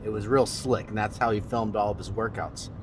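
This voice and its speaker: A man's voice: lowish voice, steady tone, a little fast, noisy background. A man with a congested, nasal voice, chatting in a joking, boastful way as a fitness vlogger while driving. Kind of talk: casual vlog while driving. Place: car.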